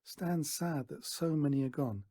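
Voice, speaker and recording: A man reading a children's story aloud in an expressive narrating style. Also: fairly high pitch, measured pace, no room sound, studio quality. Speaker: storybook reader.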